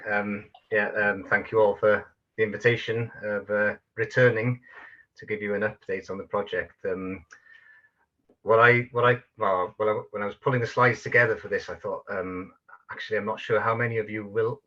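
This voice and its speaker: Low, bright-voiced Welsh man, halting. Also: muffled recording. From North Wales. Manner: hesitant, many fillers and restarts. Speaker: guest speaker, project manager. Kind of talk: online talk presentation.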